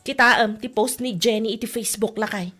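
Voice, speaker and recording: A woman's voice: fairly high pitch, steady tone, fairly quick, sharp tone, soft background music. A woman acting an indignant, let-down village wife in an Ilocano comedy drama, with a Filipino Ilocano accent. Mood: surprised.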